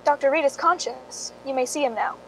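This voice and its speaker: A woman acts a matter-of-fact hospital nurse in a radio drama. Her voice is high and polite, over faint rumbling noise. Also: brisk, creaky, fairly bright tone, rough recording. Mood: courteous.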